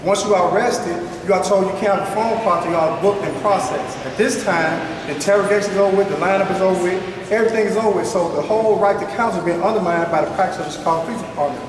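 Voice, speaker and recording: A man's voice: sits high, fast talker, few pauses. A man giving insistent, plain-spoken personal testimony as a community speaker at a press conference. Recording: very echoey hall, distant mic, noisy.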